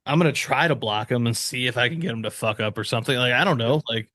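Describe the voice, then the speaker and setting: A man with a medium-pitched voice chats casually as a podcast co-host. He is blunt and opinionated and swears freely, in a dry, close recording. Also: fast talker, sharp tone, dry recording. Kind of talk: casual chat.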